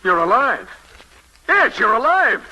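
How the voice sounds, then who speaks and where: An actor playing an excitable, blunt newspaper editor in 1940s radio drama style. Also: male voice, extremely high pitch, slow, full character voice. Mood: shocked, frantic. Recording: crackly old radio broadcast.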